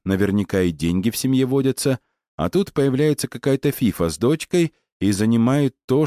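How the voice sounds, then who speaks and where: A man's voice: medium pitch, warm tone, no echo, studio quality, lightly acted. A man voicing a bold, analytical boy in an audiobook story, reasoning things out step by step. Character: bold, analytical boy, eager to justify himself.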